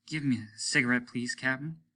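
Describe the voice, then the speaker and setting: A man reading a story, voicing a polite, patient but dazed subordinate spaceman who speaks haltingly and deferentially. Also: mid-range voice, pushed and bright, voice pushed up. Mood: dazed, faltering. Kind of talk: audiobook character voice.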